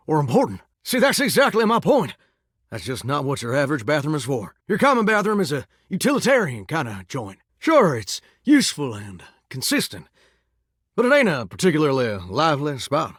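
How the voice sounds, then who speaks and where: A flirtatious, wistful talking shower from a video game. He drawls in a folksy Southern US accent, somewhat high in pitch, with big theatrical swings of intonation. The sound is dry and close, with no room on it. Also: a bit bright, slightly rough, no echo, full character voice. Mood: theatrical griping. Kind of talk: video game character acting.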